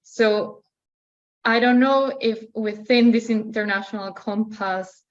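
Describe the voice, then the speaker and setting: Young female participant, Peruvian Spanish accent, even intonation, searching for words, muffled lo-fi call audio. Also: a little high, takes it slowly. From Peru. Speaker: participant asking a question. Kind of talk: spontaneous question in a discussion.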